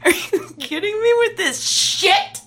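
A woman's voice: fairly high pitch, lively intonation, moderate pace, husky. A woman playing a defiant captive who is sarcastic, scornful and foul-mouthed in a dramatic performance. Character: defiant, mocking female captive with a sharp tongue.

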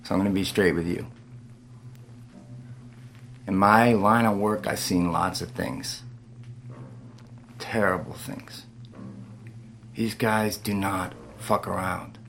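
Low-voiced earnest criminal middleman played by a man. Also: fairly even intonation, takes it slowly, creaky, long pauses, fairly bright tone, a little hiss or hum, exaggerated voice. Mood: earnest, coaxing. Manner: earnest, persuasive, informal. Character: tense male criminal middleman, coaxing his frightened captive. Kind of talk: dramatic script performance.

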